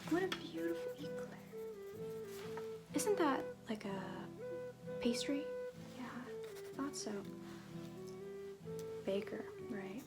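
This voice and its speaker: A woman playing a flirty, bumbling tiefling circus fool in an ASMR roleplay, theatrical yet awkward and halting as they address the listener. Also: sits high, very animated, over loud music, exaggerated voice. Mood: curious, flirty.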